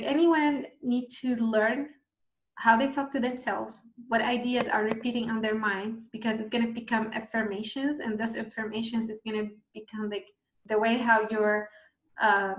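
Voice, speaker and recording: A woman's voice: fairly high pitch, a bit bright, echoey room, rough recording. A woman from Syria, talking casually about lessons she has learned, with many fillers. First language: Arabic. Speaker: speaker. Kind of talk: casual talk sharing lessons.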